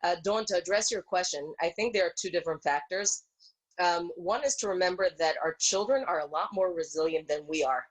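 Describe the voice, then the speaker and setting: Low-voiced woman giving measured advice. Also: poor audio. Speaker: social worker, rabbi's wife. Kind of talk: reassuring advice to a community. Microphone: video call microphone.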